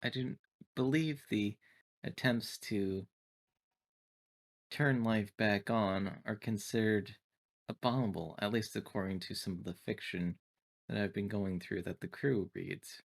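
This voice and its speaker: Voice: low-pitched, fairly even intonation, unhurried, gravelly, heavily acted. Someone voicing a formal, literal-minded android. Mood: matter-of-fact. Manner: formal, stilted, clinical wording. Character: literal-minded synthetic android, formal, detached, naively curious. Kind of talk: tabletop roleplay, in character.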